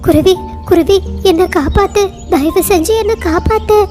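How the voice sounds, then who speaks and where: A woman's voice: very high voice, normal speed, a little mellow, faint music behind. A woman doing a panicky begging crow. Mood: panicked. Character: sly crow pretending to be scared.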